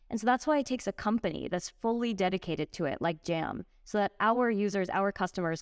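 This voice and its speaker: Woman cofounder on a podcast, crisp and articulate, no room echo. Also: lowish voice, clean studio sound. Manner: articulate, fluent explanations with analogies. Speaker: startup co-founder. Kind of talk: podcast interview answers.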